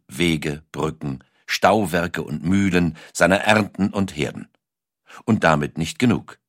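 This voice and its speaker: Very deep-voiced male narrator. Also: no room sound, clean studio sound. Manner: measured, deliberate storytelling with dramatic pauses. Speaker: documentary narrator. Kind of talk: scripted dramatic narration.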